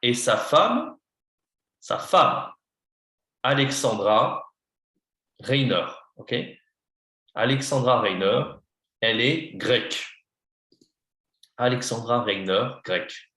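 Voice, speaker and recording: A male language teacher speaks slowly and patiently, with an unhurried pace that gives a beginner time to follow. His voice sits at an ordinary pitch. The room around him rings with a noticeable echo. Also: lively intonation, sharp tone. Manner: patient, slow, coaching a beginner. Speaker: French teacher. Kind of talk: online lesson, mixing English and French.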